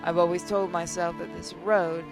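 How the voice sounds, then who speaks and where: A gaming narrator reads story text aloud with care and weight. The intonation stays fairly flat, and game music plays softly behind the voice. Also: medium pitch, moderate pace, vocal fry. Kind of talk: reading visual novel narration aloud.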